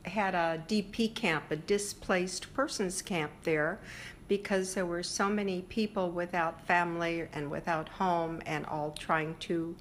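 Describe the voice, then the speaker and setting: Slow, gravelly older woman. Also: lowish voice, slight background noise. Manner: reflective, hesitant with frequent fillers. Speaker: interviewee sharing family history. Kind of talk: oral history interview.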